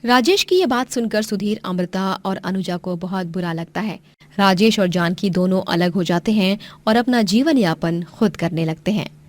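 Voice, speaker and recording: A woman's voice: medium pitch, normal speed. A woman narrator reading a scripted moral story.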